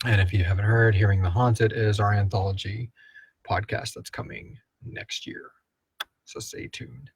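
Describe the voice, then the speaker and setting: Male podcast co-host, lowish voice, informal and jokey, rambling live Q&A delivery, very dry recording. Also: fairly even intonation, moderate pace, husky, no room sound. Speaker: co-host and producer of an audio drama podcast. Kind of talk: casual live Q&A chat with listener questions read aloud.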